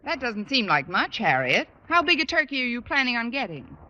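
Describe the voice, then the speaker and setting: A woman's voice: fairly high pitch, sing-song, fairly quick, pushed and bright. An American woman acting a dry, wisecracking English teacher in an old radio sitcom. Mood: sardonic. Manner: dry, sardonic, arch. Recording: muffled, reverberant, hissy old radio broadcast.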